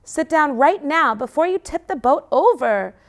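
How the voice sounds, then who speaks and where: A woman voicing an anxious, bossy little female husky puppy in a children's story. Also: high voice, animated, slow pace, pitched above the performer's own voice. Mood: scolding. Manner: fretful, scolding.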